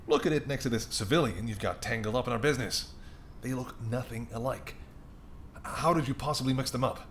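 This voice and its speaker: A man's voice: mid-range voice, brisk, slight background noise, close to an everyday voice. A man playing an apologetic, wry and courteous male mafia boss in an audio roleplay, speaking conversationally and sardonically straight to the listener. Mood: exasperated. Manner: conversational, sardonic, exasperated, softening into polite charm.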